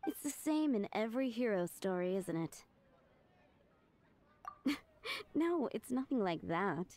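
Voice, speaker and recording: A woman's voice: medium pitch, expressive, measured pace, a little mellow, a little hiss or hum, lightly acted. An actress playing a playful, teasing female tavern boss in a video game. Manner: teasing, leisurely delivery.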